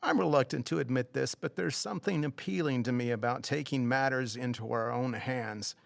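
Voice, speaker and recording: A man plays a fussy walking tour boss in an audio drama. His mid-pitched voice has a gravelly fry to it, and he speaks formally, skeptical and grudging about bending any rule. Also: normal speed, no room sound, studio quality, close to an everyday voice. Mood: grudgingly intrigued.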